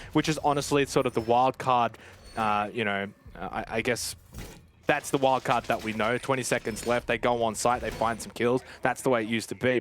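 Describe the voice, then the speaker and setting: Male esports caster over noisy background. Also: mid-range voice. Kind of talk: live esports match commentary.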